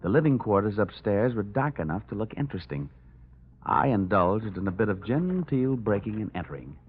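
A man's voice: on the low side, sing-song, moderate pace, a little hiss or hum. A man playing a tough, wisecracking insurance investigator, narrating and talking in a punchy, sardonic old-time radio detective style. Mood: wry narration. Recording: dull old radio recording, loud music.

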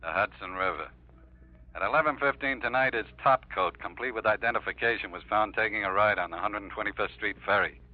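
An actor playing a laconic, unhurried police lieutenant in an old radio detective drama. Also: male voice, fairly low pitch, lightly acted. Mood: dry, matter-of-fact. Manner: dry, laconic. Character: dry, unhurried male police lieutenant on the phone. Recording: muffled vintage radio recording.

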